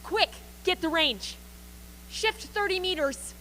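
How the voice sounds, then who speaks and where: A piercing, very high-voiced artillery officer played by a woman snaps out clipped firing commands in a radio play. The delivery is urgent and commanding, over a noisy, humming live recording. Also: takes it slowly, bright, forceful tone, exaggerated voice. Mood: barking, urgent. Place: school gym used as theater.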